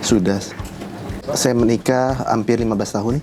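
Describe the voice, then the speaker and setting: A man's voice: medium pitch, fairly even intonation, normal speed, background noise. A man in his forties answering interview questions in plain conversational speech. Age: 40s. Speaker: interviewee, father of two.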